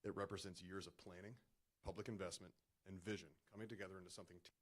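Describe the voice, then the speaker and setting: A man speaking at public comment in a council chamber, reading a formal prepared statement in a loud voice at a podium microphone. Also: low-pitched, steady tone, very fast. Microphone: podium microphone, partly dead.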